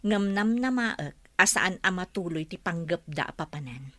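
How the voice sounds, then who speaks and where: A woman's voice: lowish voice, animated, measured pace, fairly bright tone, no echo. A woman acting as a wary young wife in an audio drama, asking pointed, quizzing questions.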